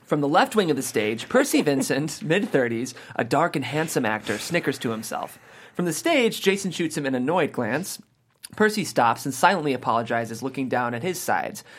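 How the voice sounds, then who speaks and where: A man's voice: mid-range voice, big pitch swings, normal speed, a bit bright, breathy edge. A man narrating stage directions from a screenplay at a table read.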